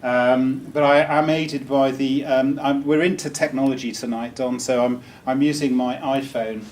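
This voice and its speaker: Man, casual joking speaker, um-heavy, mid pitch; distant room mic. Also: steady tone, moderate pace, a little mellow, slight background noise. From Wales. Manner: chatty, joking, with many ums and restarts. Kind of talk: informal talk to a live audience. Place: meeting room.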